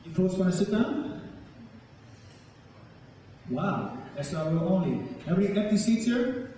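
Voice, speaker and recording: A man tells stories and recites poetry in a slow, dramatic way. His voice is fairly high and soft-edged. It carries through a big, very reverberant hall, picked up from afar with a faint layer of noise. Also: lively intonation. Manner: deliberate, dramatic storytelling delivery. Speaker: spoken word poet and storyteller. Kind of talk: dramatic poetry reading and talk to a live audience. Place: large echoing room with a live audience. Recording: echoey hall, distant mic, faint noise.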